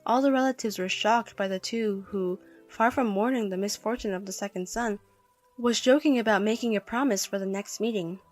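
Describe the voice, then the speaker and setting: A woman's voice: mid-range voice, moderate pace, faint music behind. A woman reading a scripted first-person story as narrator.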